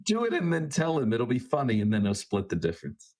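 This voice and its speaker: A man's voice: medium pitch, wildly swooping pitch, fast, a little mellow. A man playing a tabletop game, improvising casually in his own voice.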